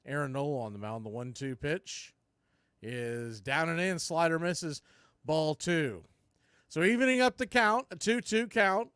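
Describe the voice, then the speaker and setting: A man doing live baseball play-by-play for a fan stream, mixing clipped pitch calls with casual, partisan asides. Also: lively intonation, measured pace, fairly bright tone, smooth, no echo, clean studio sound.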